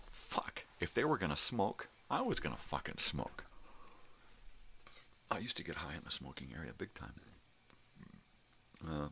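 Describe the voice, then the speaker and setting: A middle-aged man with a gravelly voice, rambling casually as he tells stories with swearing and emphatic bursts. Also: very deep voice, fairly bright tone. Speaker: host of a daily personal vlog.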